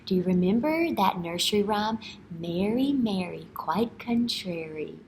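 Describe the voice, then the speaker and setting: A woman in her thirties reads a picture book to young children as their teacher. Her pace is slow and deliberate, with a medium pitch and an expressive, questioning delivery. She is recorded at arm's length indoors, with faint noise behind her. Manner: instructional, expressive, checks understanding with questions and "right?". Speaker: early-grade teacher. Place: indoor school classroom.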